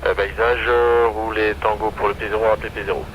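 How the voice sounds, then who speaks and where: A male air traffic controller with a fairly low voice speaks in halting radio instructions. The transmission comes through an aviation radio over clear engine and cockpit noise. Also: flat delivery, dull audio. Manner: hesitant, halting phrasing. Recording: noisy aviation radio with aircraft drone.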